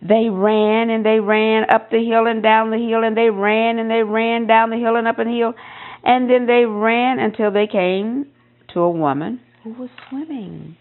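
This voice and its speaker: A woman reading a children's picture book aloud in an expressive storytelling style, with emphasis on repeated phrases. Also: a little high, measured pace, narrow, tinny audio. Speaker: storybook reader.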